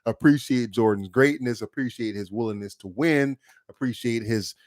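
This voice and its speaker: A man co-hosting a podcast in casual chat, talking in an animated, emphatic way with plenty of swearing. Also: mid-range voice, moderate pace, dry recording, clean studio sound. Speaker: podcast co-host.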